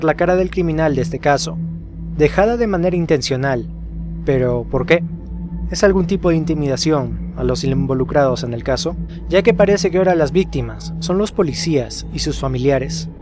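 A man narrating a scripted horror case as a storyteller, putting rhetorical questions to the listener. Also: fairly high pitch. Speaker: narrator of a horror explainer video. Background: music behind the voice.

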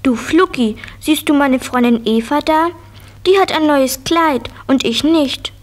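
A small preschool girl with a high, childlike voice. She is curious and headstrong, insisting on her point in the manner of a children's audio drama. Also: measured pace. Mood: insistent. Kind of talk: children's radio play acting.

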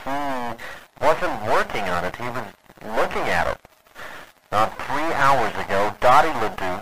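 A noisy old phonograph-style recording carries a male actor as a 1920s news anchor around thirty. He is formal and precise, yet uneasy and halting, in the manner of vintage radio drama. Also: medium pitch, lightly acted. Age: 30s.

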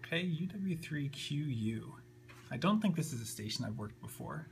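A man's voice: on the high side, lively intonation, normal speed. A man giving a casual, unscripted tutorial as he works, sometimes muttering to himself. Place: indoors at a computer desk. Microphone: handheld camera, a little off the screen. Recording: faint background hum and noise.

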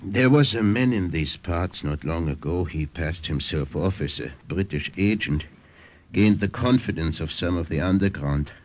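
An actor playing a hearty older Dutch uncle with an emphatic, exclamatory delivery in an old-time radio drama. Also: male voice, low-pitched, expressive, moderate pace. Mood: somber. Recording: vintage radio drama recording.

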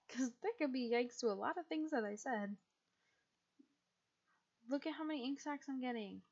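A young woman from the United States, chatting casually and rambling as she streams a game, with a husky, quiet voice and frequent laughs. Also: high-pitched, a little slow, pauses often, highs cut off.

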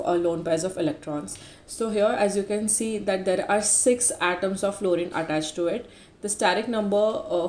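Mellow-voiced woman giving a tutorial, roomy sound. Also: lowish voice. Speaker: chemistry tutor, channel presenter. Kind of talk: explanatory tutorial narration. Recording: roomy echo with background noise.

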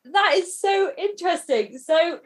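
A woman's voice: very high voice, lively intonation, a little slow, crowd noise. A woman hosting a podcast interview in a casual, chatty and inquisitive way.

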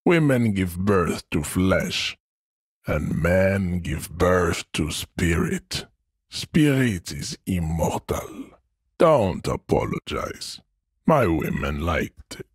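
An actor playing an arrogant hyper-masculine strongman philosopher in a video game, lecturing with pompous, deliberate condescension. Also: fairly low pitch, husky, no room sound, clean studio sound, full character voice. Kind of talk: video game character acting.

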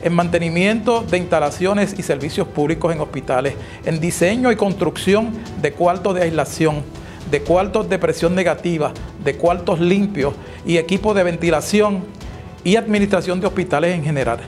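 A middle-aged man with a Puerto Rican accent delivering a scripted, formal address in a measured manner. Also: high-pitched, a little mellow. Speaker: president of an engineers' association. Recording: music playing behind the voice.

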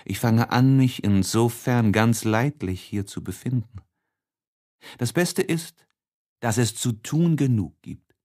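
Slow, measured male audiobook narrator. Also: medium pitch, lively intonation, darkish tone. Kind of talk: expressive literary audiobook narration.

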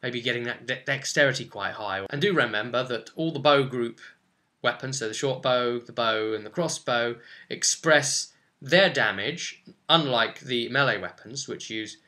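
A man's voice: medium pitch, normal speed, bright, forceful tone. A man in his twenties giving an informal explanatory tutorial on tabletop roleplaying, talking to camera at home. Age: 20s. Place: small furnished room at home, indoors.

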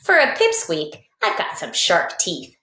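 A small, cocky young praying mantis tells his storybook diary , read by a woman. He is playful and boastful, in short, expressive sentences. The sound is echoey, like a basement room. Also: on the low side, measured pace, full character voice. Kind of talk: expressive children's storybook reading.

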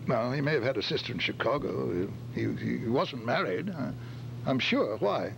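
An older man playing a nervous, flustered gentleman in a radio play, stammering and repeating his words. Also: mid-range voice, expressive, moderate pace, gravelly. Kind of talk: radio play read from script. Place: function room, live staged reading. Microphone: stand microphone. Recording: faint noise and hum, old amateur tape.

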